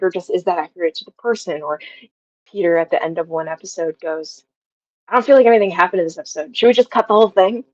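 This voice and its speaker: Young female interview guest, lower-pitched voice, effusive casual talker, dry but slightly muffled recording. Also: a little mellow, no echo. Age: young adult.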